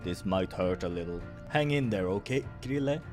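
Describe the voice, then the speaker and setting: A man voicing a fatherly bear doctor in a visual novel, reassuring and gently flirtatious in manner. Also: low voice, lively intonation, over music, pitched below the performer's own voice. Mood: caring, calm. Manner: slow, reassuring, paternal.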